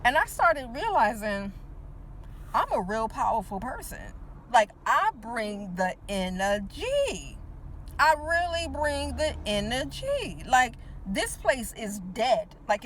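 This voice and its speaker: A woman's voice: a little high, fairly bright tone, a little hiss or hum. Woman in her forties, chatty motivational talk. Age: 40s. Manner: talks to the viewer with repeated "right?" checks and emphatic repetition. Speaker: teacher and motivational speaker. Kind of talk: casual motivational monologue.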